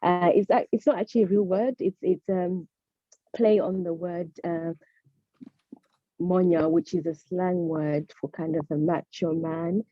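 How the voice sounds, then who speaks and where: Woman, low voice, dull dry audio. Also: steady tone, a little slow, no echo. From Zimbabwe. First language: Shona. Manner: friendly, hesitant, with many fillers. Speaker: personal trainer. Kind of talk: guest self-introduction.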